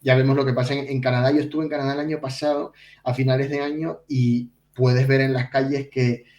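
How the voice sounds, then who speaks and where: A man co-hosting a podcast in conversational financial news discussion, explaining things haltingly with repeated words. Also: medium pitch, flat delivery, darkish tone, muffled recording. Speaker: podcast co-host. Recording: roomy echo, distant from mic.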